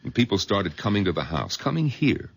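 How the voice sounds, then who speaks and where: A skeptical middle-aged doctor with a deep, gravelly, husky voice. He talks in exasperated swings of pitch, rising sharply when pushed. The delivery belongs to classic radio drama. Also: big pitch swings, a little fast, highs cut off, heavily acted. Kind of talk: 1970s radio drama acting.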